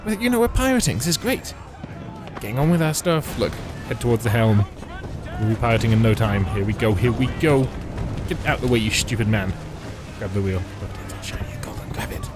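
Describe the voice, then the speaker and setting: A man's voice: mid-range voice, very animated, fairly quick. A man giving wry, mocking commentary while playing a video game. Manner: wry, mocking, chatty asides. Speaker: let's play host. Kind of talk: sarcastic gameplay commentary. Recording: game music under voice. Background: game music.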